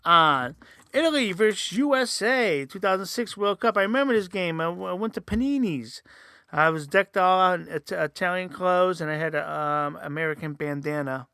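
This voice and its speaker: Guy rambling thru his reviews, lots of ums and restarts, kinda gravelly, close dry mic. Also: high voice, expressive, normal speed, bright, forceful tone, no echo. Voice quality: says he sometimes sounds nasal. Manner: rambling, many fillers and restarts. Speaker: host. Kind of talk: casual solo review podcast.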